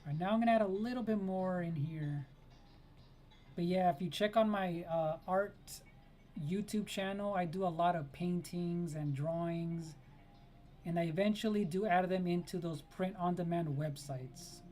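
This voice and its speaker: A woman's voice: fairly low pitch, normal speed, warm tone, faint music behind. A woman whose first language is Spanish, chatting casually with viewers on a live art stream while she draws, greeting them by name and laughing often.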